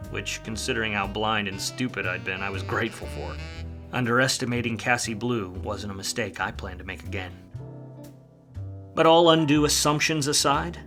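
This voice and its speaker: An actor playing a wry, world-weary hardboiled private detective in a 1940s film noir radio play, full of slang. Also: male voice, fairly low pitch, pushed and bright.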